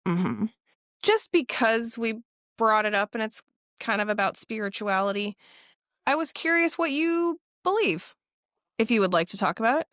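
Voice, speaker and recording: Young female co-host, lively casual storyteller, dry close-miked sound. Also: medium pitch, no room sound. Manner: animated storytelling with laughs and acted quotes. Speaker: podcast co-host. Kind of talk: casual chat.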